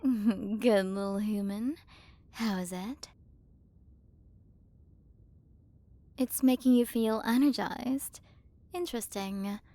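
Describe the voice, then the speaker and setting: A woman's voice: medium pitch, takes it slowly, dark tone, lightly acted. A woman playing a haughty, possessive alien queen and scientist who talks down in a commanding, teasing way, in an ASMR roleplay. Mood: curious, pleased.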